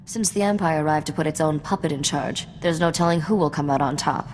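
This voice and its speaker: Woman as shrewd cynical smuggler contact, deep-ish cool voice, game cutscene, echoing hall sound. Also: a little fast, darkish tone, close to an everyday voice. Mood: cynical, knowing. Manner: cynical, knowing, matter-of-fact. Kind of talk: video game voice acting. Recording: reverberant hall sound with faint noise.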